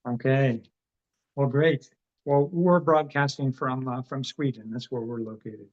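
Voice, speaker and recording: A man from Canada hosting a podcast interview, relaxed and halting with many fillers. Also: medium pitch. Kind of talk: casual interview. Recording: dull, muffled sound.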